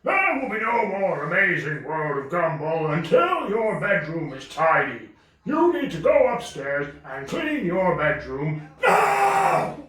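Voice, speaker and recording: A man's voice: fairly high pitch, huge pitch swings, slow pace, a bit bright, heavily acted. A man voicing a bossy, blustering, greedy dragon father who scolds his son in a homemade children's puppet show. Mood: bossy, scolding. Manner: scolding, exclamatory, swooping. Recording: very echoey room, distant mic.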